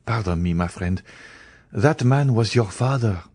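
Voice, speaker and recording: A man's voice: on the low side, expressive, unhurried, a little mellow, breathy edge, no echo. A man voicing an earnest young male journalist, a grave and loyal friend, with emphatic, formal delivery in an audiobook. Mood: gentle, regretful. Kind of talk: dramatised audiobook reading.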